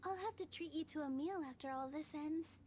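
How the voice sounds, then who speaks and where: A woman playing a gentle, earnest young dancer in a video game, speaking sweetly and politely. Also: high voice, a little fast, slight background noise, lightly acted. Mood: grateful.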